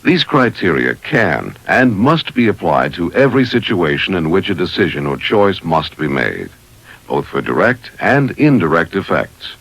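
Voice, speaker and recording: Deep, gravelly male training narrator. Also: sing-song, moderate pace, a bit bright, dry recording. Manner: formal, methodical enunciation. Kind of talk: scripted instructional narration.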